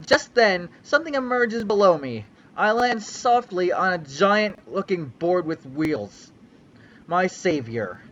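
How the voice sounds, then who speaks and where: A man's voice: high-pitched, animated, takes it slowly, a bit bright, lo-fi sound. A young man giving a mock-dramatic reading of a fanfic on a livestream, with a theatrical, sweeping storyteller delivery. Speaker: reader on a livestream.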